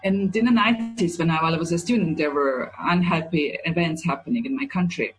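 A woman in her forties from Croatia, an author answering interview questions in a thoughtful, halting way. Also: fairly low pitch, low-quality recording. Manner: thoughtful, halting, searching for words. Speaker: children's author, guest.

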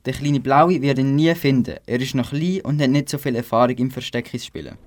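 A man's voice: medium pitch, steady tone, brisk. A teenage boy with a Swiss German accent, narrating a scripted amateur children's radio play.

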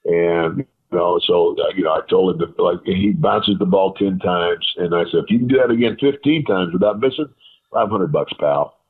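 A man with a deep voice telling rambling stories as an interview guest, full of fillers and quoted dialogue. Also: moderate pace, breathy edge. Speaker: guest, retired wrestler. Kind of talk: casual storytelling interview. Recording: tinny phone line.

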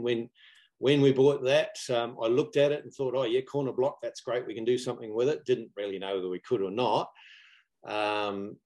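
A middle-aged man with a New Zealand accent, chatting casually as a guest in a laid-back, self-deprecating, halting way. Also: medium pitch, a little mellow. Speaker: interview guest, property investor student. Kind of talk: casual anecdotal chat. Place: live video call online.

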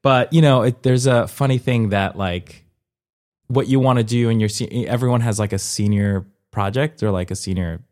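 A man's voice: mid-range voice, normal speed, darkish tone. A young man from Colombia chatting casually as an interview guest, informal and hesitant, often rephrasing himself and checking for agreement. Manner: informal, hesitant and self-correcting, often seeking agreement with "you know". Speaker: interview guest, comedian and former dancer. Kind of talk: casual conversational interview, personal storytelling.

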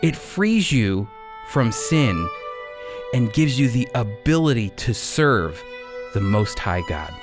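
A man hosting a radio program and teaching the Bible in an emphatic, deliberate way. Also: mid-range voice, big pitch swings, over music.

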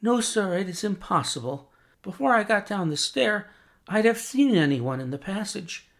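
A man's voice: high-pitched, big pitch swings, normal speed, creaky, fairly bright tone, voice pushed up, heavily acted. Man doing a deferential young housemaid. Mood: firm. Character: young female housemaid, respectful and firm.

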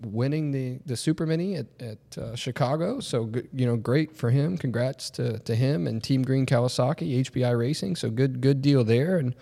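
A male podcast host chatting casually in a studio, his mid-pitched voice trailing off into fillers and restarting sentences. The room rings with a long reverb tail. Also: normal speed, vocal fry, few pauses, warm tone. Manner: rambling, many fillers and restarts.

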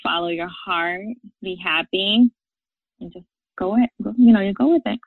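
A woman from Puerto Rico, a life coach and podcast guest, answering questions in a relaxed, upbeat way and laughing often. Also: medium pitch, normal speed, creaky, no echo. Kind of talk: casual interview answers. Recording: tinny phone-like line.

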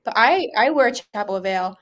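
A woman's voice: a little high, animated, normal speed, a bit bright. A young adult woman co-hosting a podcast, relaying questions and discussing them in an informal, conversational way full of youthful filler words. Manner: informal, peppered with "like" and "you know".

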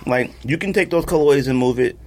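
A man's voice: mid-range voice, fast, a little mellow. A man co-hosting a podcast in casual, slangy, argumentative chat, often repeating himself for emphasis. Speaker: podcast co-host. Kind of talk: casual opinionated chat about sneakers.

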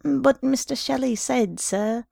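Storybook clerk, read by a woman: hulking man, cowed and resentful, curt muttering, gravelly, mellow tone. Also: high voice, slow, no echo, clean studio sound, pitched above the performer's own voice, full character voice. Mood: cowed, sullen. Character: big, sullen, muttering male office clerk, cowed. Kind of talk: dramatised literary story reading.

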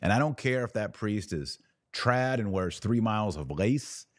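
A man's voice: on the low side, expressive, a little slow, no echo, clean studio sound. A man hosting a live religious commentary stream, reading out comments and answering them in an emphatic, preachy way with short repeated declarations. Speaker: podcast host.

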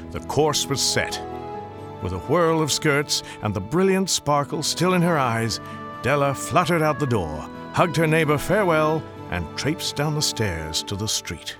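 Wildly expressive male storybook narrator. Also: medium pitch. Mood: dramatic. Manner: wry, ornate, deliberate storytelling. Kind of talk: scripted dramatic narration. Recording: music clearly behind.

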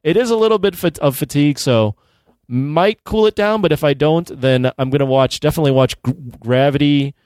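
A man co-hosting a casual chat, speaking in a measured, somewhat hesitant way. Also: a little high, darkish tone, smooth, no room sound, studio quality. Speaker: podcast co-host.

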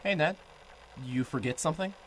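A man's voice: on the high side, moderate pace, fairly bright tone, slight background noise, close to an everyday voice, poor audio. A young man narrating an audio drama in first person as a reporter, dry and matter-of-fact. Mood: wry. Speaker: narrator and lead character, a young radio reporter.

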